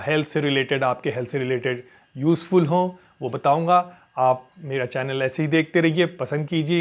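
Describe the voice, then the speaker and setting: A man presenting an explanatory health tutorial as a physiotherapist, in a didactic way, repeating phrases for emphasis. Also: fairly high pitch.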